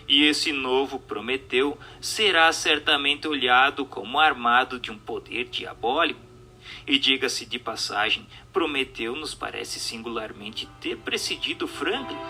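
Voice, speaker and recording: Very bright, forceful male narrator over music. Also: mid-range voice. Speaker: narrator, audiobook reader. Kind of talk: formal scripted narration of a religious-philosophical book. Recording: music bed under the voice.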